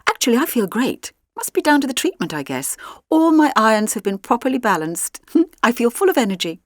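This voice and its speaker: Female reader as lively schoolgirl in a storybook, chatty, higher-pitched, dry close sound. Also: a little fast, no echo. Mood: perky, energetic. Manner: bright, chatty, casual. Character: upbeat, energetic schoolgirl giving chatty reports.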